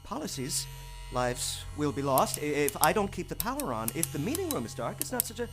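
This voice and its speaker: An actor playing a timid, overworked power operator in an audio drama, stammering, nervous and apologetic. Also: male voice, fairly high pitch, big pitch swings, fairly quick, over music. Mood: pleading, fearful. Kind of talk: theatrical audio drama acting.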